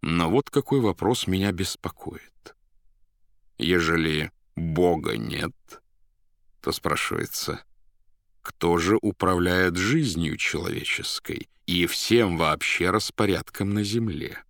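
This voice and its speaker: A man voicing a mysterious foreign gentleman, polite and slyly menacing, who draws out and savours his words. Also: low voice, takes it slowly, gravelly, no echo, clean studio sound, full character voice. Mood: probing.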